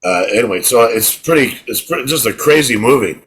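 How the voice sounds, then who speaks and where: A man's voice: medium pitch, animated, fairly quick, fairly bright tone, husky. A middle-aged man co-hosting a podcast in casual chat, telling rambling stories and restarting his words. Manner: rambling storyteller, repeats words when starting. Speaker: podcast co-host.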